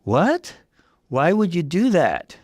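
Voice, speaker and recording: Man voicing a distraught, higher-pitched bride-to-be. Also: huge pitch swings, takes it slowly, a little mellow, dry recording, clean studio sound, heavily acted. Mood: shocked. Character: distraught young bride-to-be, wounded and suspicious.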